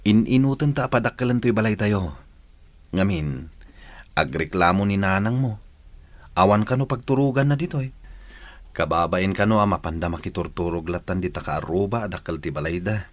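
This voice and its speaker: A man's voice: low voice, normal speed, no room sound, close to an everyday voice. A man with an Ilocano accent acting a repentant husband and father in a radio drama, earnest and pleading in manner. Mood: eager, talkative.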